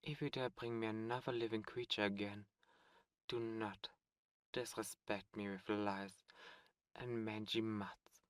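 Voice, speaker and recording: Casting: a man playing a suave, cold demon prince, very slow and deliberate, dry close sound. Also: on the low side, level tone, clean tone, no room sound, natural voice. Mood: scornful. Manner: silky, condescending, menacing. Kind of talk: ASMR roleplay audio drama.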